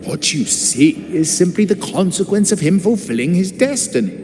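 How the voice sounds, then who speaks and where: A man's voice: sits high, lively intonation, moderate pace, noisy background. An actor playing a mocking, cryptic male acolyte villain in a video game cutscene, theatrical and taunting. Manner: theatrical, taunting, swooping delivery. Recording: reverberant game audio with loud music.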